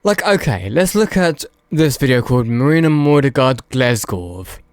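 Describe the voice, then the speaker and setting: A man's voice: mid-range voice, very animated, moderate pace, clear, resonant tone, no room sound, clean studio sound. A man giving casual, unscripted video commentary, rambling and hedging as he goes and repeating words for emphasis. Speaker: video host.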